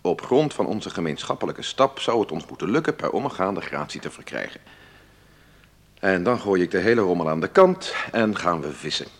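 A defence lawyer telling a friend about an absurd case in a radio drama. His voice is deep and husky with a gravelly edge. He confides with animated, exasperated disbelief. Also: normal speed. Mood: exasperated, incredulous. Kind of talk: radio drama letter monologue.

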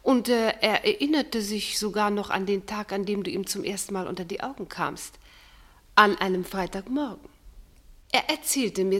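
An actress playing a shrewd, probing woman with an arch, deliberate manner in a German radio drama. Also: medium pitch, a bit bright. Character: shrewd, needling woman exposing a man's secrets.

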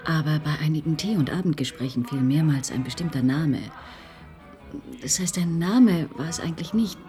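A woman plays a skeptical investigator in a radio play. Her voice is very deep for a woman, her manner cool and assertive. Music plays behind her. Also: moderate pace, warm tone, lightly acted. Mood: thoughtful. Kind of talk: German radio play acting.

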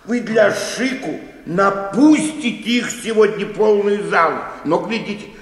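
An actor playing a sour, fussy elderly bookkeeper in a radio play, grumbling and halting as he repeats himself. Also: high voice. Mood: sour, reproachful. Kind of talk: theatrical radio play acting. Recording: echoey hall, long reverb.

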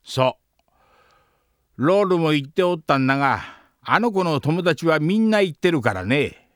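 A man playing a kindly, fatherly elderly scientist in an anime audio drama, with gentle old-fashioned phrasing. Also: fairly high pitch, animated, normal speed, no echo, studio quality. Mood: troubled.

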